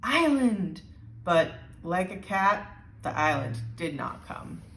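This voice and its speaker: A woman reading a children's storybook aloud with deliberate, expressive emphasis. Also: sits low, vocal fry, slight background noise. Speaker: storybook reader. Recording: roomy, echoey sound.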